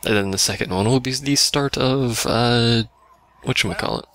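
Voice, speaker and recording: A man's voice: medium pitch, normal speed, fairly bright tone. A man giving casual, dry and sarcastic commentary on a video game he is playing. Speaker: let's play commentator.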